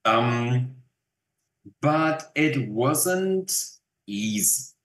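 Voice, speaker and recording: A man's voice: mid-range voice, expressive, drawn-out words, a bit bright. A middle-aged man with a German accent, chatting as an interview guest, thoughtful and hesitant with many restarts. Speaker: actor guest. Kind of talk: casual interview chat. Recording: echoey room.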